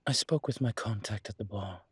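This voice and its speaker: A man playing a suave, flirtatious demon professor in an intimate audio roleplay, speaking in a courtly, teasing way with formal wording and hesitant pauses. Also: on the low side, slightly rough, no echo. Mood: measured, explanatory.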